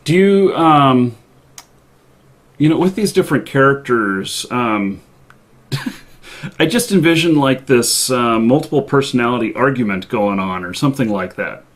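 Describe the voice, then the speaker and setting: A man hosting a live interview show, asking questions hesitantly with many pauses and fillers. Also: medium pitch, animated. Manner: hesitant, lots of ums and mm-hmms. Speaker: show host.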